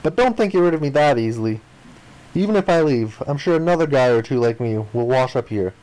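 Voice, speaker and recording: A man's voice: medium pitch, brisk, poor audio. Man reading visual novel text aloud expressively. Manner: expressive, acts out the protagonist's lines. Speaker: playthrough host reading aloud. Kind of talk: dramatic read-aloud of visual novel narration and dialogue.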